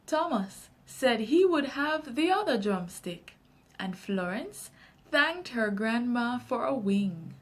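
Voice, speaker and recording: A woman with a Jamaican accent reading a children's story aloud in an expressive, deliberate storytelling manner. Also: a little high.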